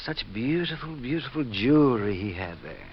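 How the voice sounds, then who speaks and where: A man's voice: medium pitch, sing-song, moderate pace. A man acting in an old radio drama as a fussy, eccentric junk collector, effusive, rambling and very polite. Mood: rambling, evasive. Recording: dull, noisy vintage radio recording.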